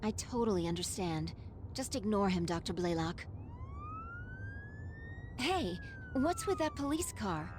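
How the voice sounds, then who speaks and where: An actress playing a sweet, loyal young female medical assistant in an animated game drama, polite and earnest. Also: medium pitch, measured pace, long pauses, noisy background. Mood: cheerful, polite.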